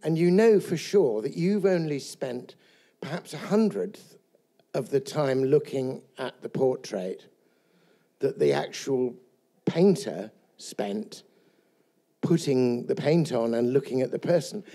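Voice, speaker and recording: Gravelly, musing man. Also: medium pitch, expressive, dark tone. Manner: thoughtful, musing, measured. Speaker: former college chaplain. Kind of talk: reflective audience comment. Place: college event hall with an audience.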